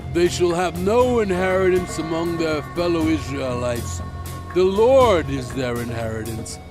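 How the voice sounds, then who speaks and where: A man playing a solemn lawgiver prophet in a dramatized scripture reading, declaiming deliberately to his people. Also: on the high side. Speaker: narrator voicing a prophet in a dramatized audio Bible. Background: background music.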